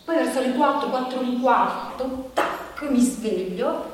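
An actress playing an anxious, bitter woman nearing forty in a halting, repetitive, confessional stage monologue. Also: high-pitched. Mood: weary. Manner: halting, repetitive, insistent, with rhetorical questions. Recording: echoey hall from far off, background noise.

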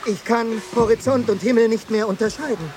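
Ein Schauspieler spielt im Hörspiel einen befehlsgewohnten, drängenden Schiffsoffizier im Sturm. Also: male voice, extremely high pitch, brisk, a little mellow. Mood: commanding. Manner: urgent, commanding. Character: commanding ship's officer in a storm. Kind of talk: radio drama acting. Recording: clearly noisy ship sound effects.

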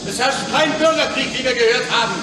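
A male actor in a radio play voicing an officious, accusing gentleman with formal, pompous, legalistic delivery. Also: very high-pitched, brisk. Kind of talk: radio drama acting. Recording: very echoey, distant, noisy.

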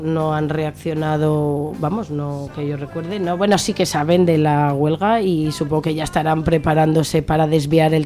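A woman's voice: low-pitched, a little mellow. A woman with an accent from Spain giving earnest, outspoken answers as an activist guest in an informal radio interview. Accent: Spanish from Spain.

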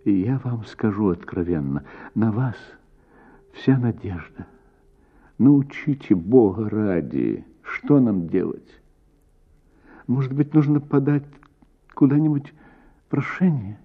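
A man's voice: lowish voice, sing-song, slow pace, long pauses, breathy edge, narrow, tinny audio, voice pushed up, full character voice. A man reading a story, voicing an anxious married woman landowner who pleads emphatically for her family estate. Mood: pleading.

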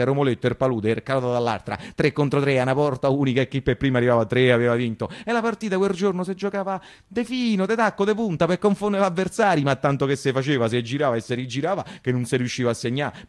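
Man, Roman accent, fairly high voice, very fast, theatrical radio monologue, emphatic repetitions, colloquial working-class storyteller. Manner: emphatic, repeats phrases in rhythmic build-ups. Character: working-class Roman man recalling his wartime boyhood, excitable and colloquial. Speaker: storyteller actor.

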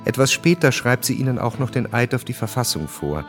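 A male actor is a sober chronicler with a medium-pitched voice. He reads scripted historical narration for a radio drama, with faint music underneath. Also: lively intonation, a little fast, natural voice.

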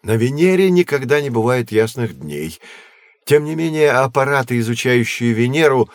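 A man playing a patient, learned astronomer who guides children in an educational audio drama, measured and didactic. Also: medium pitch, animated, no echo, natural voice. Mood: explaining. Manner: measured, didactic, clearly enunciated.

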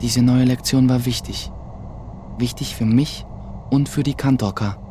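A man playing an earnest young sorcerer's apprentice who narrates his own story in a measured way for a radio play. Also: mid-range voice, fairly even intonation, mellow, slight background noise, close to an everyday voice. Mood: narrating, measured. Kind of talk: scripted first-person narration in German radio play. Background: music.

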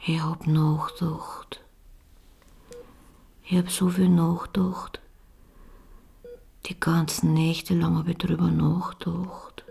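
An actress in a radio drama playing a troubled, devoted wife and mother under questioning, with an Austrian accent and a breaking, halting delivery. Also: on the low side, level tone, creaky, mellow, husky, exaggerated voice. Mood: bewildered, agitated. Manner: halting, repetitive, emotionally fragile. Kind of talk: naturalistic radio drama acting.

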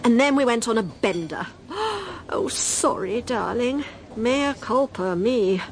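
A woman's voice: fairly high pitch, animated, slow, slight background noise. An actress playing a brash, gossipy, much-married older Englishwoman in a radio drama, gushing and teasing with theatrical gasps and giggles, shameless and merry. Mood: mock contrite.

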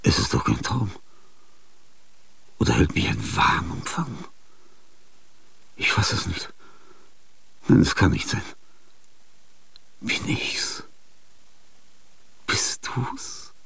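An older man acting in a radio play, with a husky, gravelly voice and a halting, dreamlike monologue that slips into whispers. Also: sits low, huge pitch swings, a bit bright, distant mic. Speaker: radio drama actor.

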